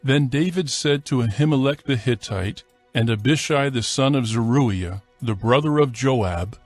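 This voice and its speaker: A man narrating a dramatized scripture reading in a measured, formal, scripted style. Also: medium pitch, soft background music.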